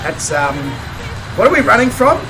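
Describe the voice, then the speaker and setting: Croaky high-voiced Australian man. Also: very animated, normal speed, recorded from a distance, background noise. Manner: chatty, rambling, chuckles between remarks. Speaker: game streamer. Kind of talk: casual chat with live viewers.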